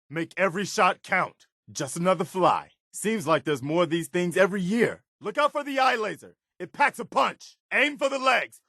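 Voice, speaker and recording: A man's voice: high voice, vocal fry, sharp tone, no room sound, studio quality, exaggerated voice. A man playing an earnest, principled militia soldier companion in a video game, loyal and battle-weary, speaking direct military phrasing in scripted dialogue.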